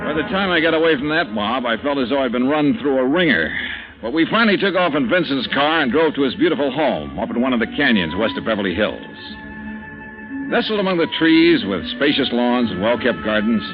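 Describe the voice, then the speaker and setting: A man's voice: mid-range voice, natural voice. An actor playing a wry male insurance investigator who narrates his own case in a 1950s radio drama. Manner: brisk, wry first-person narration. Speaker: narrator and lead. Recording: dull vintage radio broadcast with music.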